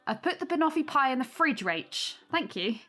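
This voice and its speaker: An actress playing a modest young aunt hosting in an audio drama, polite, gentle and eager to please. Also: female voice, high-pitched, very animated, normal speed, exaggerated voice. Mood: welcoming.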